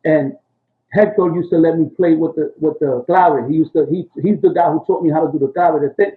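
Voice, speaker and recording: A man's voice: a little high, fairly quick, narrow, tinny audio. A man in his sixties from Puerto Rico, telling his family history in a loose, rambling way, full of street slang and "you know what I'm saying.". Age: 60s. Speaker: interviewee, rapper. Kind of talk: casual oral history interview.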